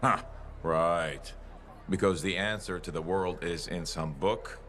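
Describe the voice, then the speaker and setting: An actor playing a cocky, gruff mechanic freelancer in a video game, boastful, folksy and plain-spoken. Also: male voice, deep voice, slow pace, fairly bright tone, slight background noise. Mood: musing, wry. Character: cocky, gruff mechanic freelancer philosophizing about the world as machine. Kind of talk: video game dialogue acting.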